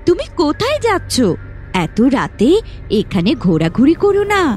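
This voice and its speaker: A woman voicing a kindly magical helper of the deep forest in a cartoon, gentle and caring. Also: wildly swooping pitch, normal speed, soft background music. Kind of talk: cartoon voice acting.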